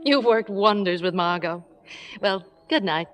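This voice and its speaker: A woman's voice: medium pitch, lively intonation, a little slow, a bit bright. An actress playing a kindly, confident married woman with a reassuring, genteel manner in a vintage radio drama. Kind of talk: 1940s-50s radio acting and narration.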